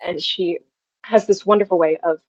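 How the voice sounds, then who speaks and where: A woman's voice: lowish voice, fairly even intonation, normal speed, darkish tone, dry recording, muffled recording. A young woman telling stories casually and effusively as an interview guest, often acting out remembered conversations. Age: young adult. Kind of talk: casual, anecdotal interview talk.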